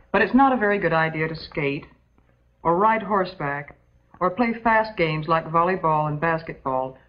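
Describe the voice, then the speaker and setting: An actress playing a calm, motherly substitute teacher with an American accent, giving a measured, patient lesson in an old educational film. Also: deep voice. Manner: measured, patient, instructional, prim. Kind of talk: scripted classroom lecture, old educational film. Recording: dull, muffled old educational film soundtrack.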